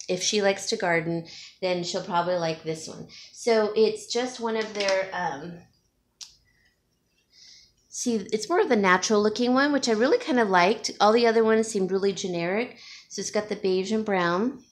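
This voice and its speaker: A chatty woman vlogs at home in a relaxed, rambling way, sniffling a little from allergies. She sits some distance from the mic, so her voice comes across echoey and roomy. A faint hiss runs under everything. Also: mid-range voice, moderate pace. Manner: rambling, chatty, with fillers and small laughs. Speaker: home stager and DIY vlogger. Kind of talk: casual chat to camera.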